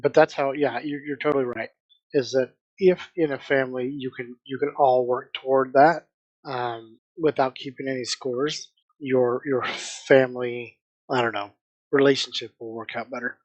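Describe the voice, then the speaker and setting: A man's voice: mid-range voice, steady tone, moderate pace, highs cut off. A man co-hosting a book club podcast, chatting casually and stressing his points by repeating them.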